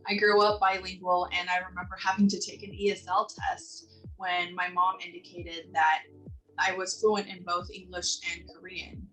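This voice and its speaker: A woman from Southern California giving an earnest, measured personal testimony for a community video. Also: lowish voice, level tone, fairly bright tone, distant mic, faint music behind, rough recording. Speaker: community member sharing experiences.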